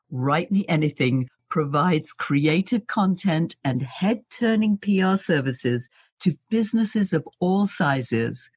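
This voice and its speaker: A woman's voice: sits low, husky, no echo. A woman hosting an interview podcast, presenting in a prepared, introductory style. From Britain. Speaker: podcast host.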